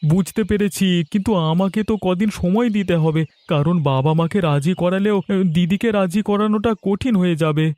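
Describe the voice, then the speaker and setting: A man's voice: high-pitched, moderate pace, dark tone, dry recording, close to an everyday voice. A man voicing a teasing, evasive young village boyfriend in a cartoon story. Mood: defensive. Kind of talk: cartoon voice acting.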